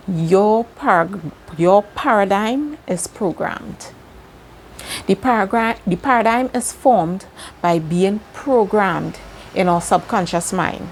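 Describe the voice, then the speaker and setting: A woman's voice: mid-range voice, slight background noise. A woman from Trinidad and Tobago with a Trinidadian accent, teaching a self-help lesson in an earnest way and repeating key words for emphasis. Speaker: self-help video host. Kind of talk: slow motivational teaching monologue.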